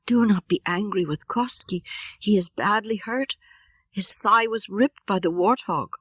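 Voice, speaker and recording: A woman's voice: mid-range voice, a little slow, a bit bright, husky, no echo, voice pushed up. A woman voicing a brave, tender young girl in an audiobook, earnest and formally phrased. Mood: pleading. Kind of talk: audiobook character reading.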